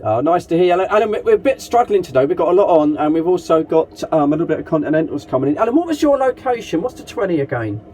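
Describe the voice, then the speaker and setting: A man's voice: fairly high pitch, big pitch swings, racing, few pauses, dark tone. A man chatting casually over CB radio as a group net operator, matey and polite, using call signs and radio jargon. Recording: noisy CB radio transmission with hiss.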